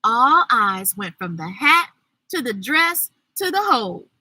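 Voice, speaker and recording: A woman reading a children's story aloud, expressive and deliberate, adding her own asides. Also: on the high side, husky, dry recording. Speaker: storyteller, host.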